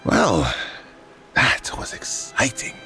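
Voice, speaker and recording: An actor playing a wry, amused, languid god in a fantasy audio drama, with a creaky drawl. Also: male voice, slow pace, sharp tone, soft background music. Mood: sly amusement.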